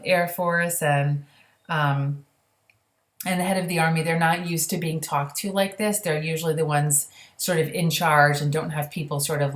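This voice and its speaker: A woman with a fairly low voice reads a storybook in a roomy, echoey space. She drops into informal, conversational explanations for young listeners. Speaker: reader of a children's book. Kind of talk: storybook read-aloud with casual explanatory asides.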